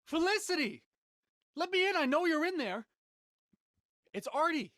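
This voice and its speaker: A woman's voice: very high-pitched, very animated, a little slow, a bit bright, no room sound, clean studio sound, exaggerated voice. A woman playing a frantic, pleading man in an audio thriller, insistent and urgent. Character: John, frantic, pleading man searching for a friend.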